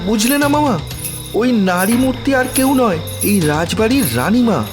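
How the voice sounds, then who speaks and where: A man's voice: very high-pitched, expressive, normal speed, music in the background. A man voicing a clever, polite young sleuth in a horror cartoon, confident and reasoning in delivery. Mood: excited, knowing. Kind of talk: cartoon horror story acting.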